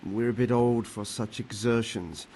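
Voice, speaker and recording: An actor playing a jovial, sly older medieval monk in a video game, with a hearty, coaxing manner. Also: male voice, fairly low pitch, steady tone, a little slow, a little mellow, a little hiss or hum, close to an everyday voice. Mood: sly, persuasive. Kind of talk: video game cutscene acting.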